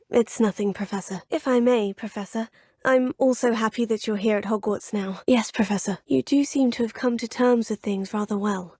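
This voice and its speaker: A well-spoken young British witch at school, polite and proper. Her voice sits a little high and carries a soft breathy edge, recorded dry and close in a studio. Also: no room sound. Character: polite young female student witch, composed. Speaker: player character, student. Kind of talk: game dialogue voice clips. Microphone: close studio mic, dry.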